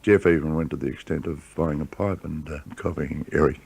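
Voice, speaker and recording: A man with a gravelly, husky voice, a radio producer recalling things haltingly in an interview. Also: extremely low pitch, fairly even intonation, darkish tone, dry recording. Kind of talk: informal interview recollection.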